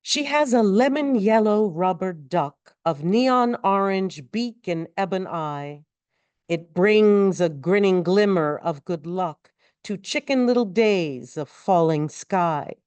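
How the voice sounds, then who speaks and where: A woman reading poetry aloud in a measured recitation, with deliberate and expressive phrasing. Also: mid-range voice, smooth, no echo. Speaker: poetry reader.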